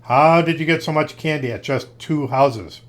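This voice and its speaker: A man's voice: fairly high pitch, moderate pace, natural voice. A man voicing a blunt, scolding but obliging policeman in a storybook, in an exclamatory manner. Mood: grudging, amazed.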